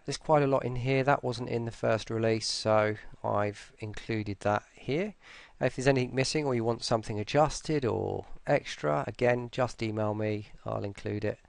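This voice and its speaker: Low, creaky, tired man. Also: no echo. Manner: hesitant, rambling, low-key. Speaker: voice pack creator presenting an update. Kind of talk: casual, unscripted software walkthrough.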